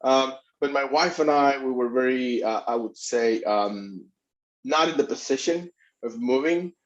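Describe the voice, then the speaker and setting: Middle-aged man, halting interview guest, mid pitch, dull recording. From Puerto Rico. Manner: halting, many restarts and fillers. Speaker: immigration attorney and guest. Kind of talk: conversational interview. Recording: dull lo-fi sound.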